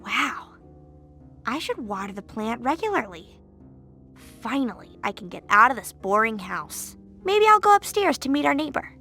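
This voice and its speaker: A restless young girl, speaks in a high, bright voice. She is sulky, curious and impatient, sliding into whines like a video game heroine, with soft music behind her. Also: sing-song, normal speed, heavily acted. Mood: curious, perkier. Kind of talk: video game cutscene voice acting.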